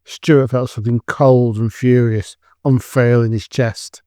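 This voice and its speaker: A man's voice: mid-range voice, steady tone, unhurried, mellow, no echo, clean studio sound. A man reading scripted dramatic narration as the narrator of an audio drama.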